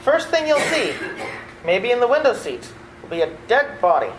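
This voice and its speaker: Woman acting a flustered nephew, echoey and animated. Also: a little high, normal speed. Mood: wry. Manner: wry, sputtering, incredulous. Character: exasperated, flustered nephew, an adult man. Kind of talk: old-time radio play acting. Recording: echoey room, slight background noise.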